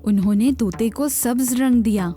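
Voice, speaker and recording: A woman's voice: on the high side, dark tone, soft background music. A woman reading a scripted children's story expressively, speaking directly to young listeners.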